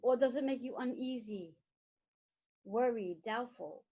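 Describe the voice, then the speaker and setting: A woman leading a guided meditation in slow, soothing, repetitive phrases. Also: on the high side, warm tone. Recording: dull lo-fi recording.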